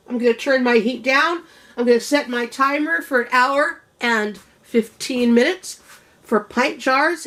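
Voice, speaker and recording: High-voiced woman losing her voice. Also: a bit bright. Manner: homey, chatty instructions to viewers. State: losing her voice, clearing throat. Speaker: home cook host. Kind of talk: home canning tutorial.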